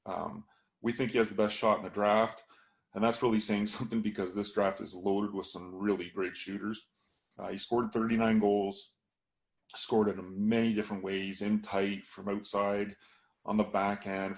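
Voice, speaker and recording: A man talking through a casual hockey podcast analysis as a scout, a bit hoarse from losing his voice, with many fillers. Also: lowish voice, flat delivery, moderate pace.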